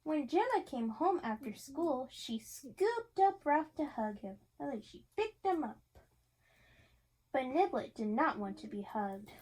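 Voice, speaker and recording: A woman with a high, youthful voice reads a picture storybook aloud to a young listener. She is encouraging and often pauses the reading to ask questions. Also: very animated, a little mellow, rough recording. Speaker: reader of a picture book to a child. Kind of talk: interactive storybook read-aloud.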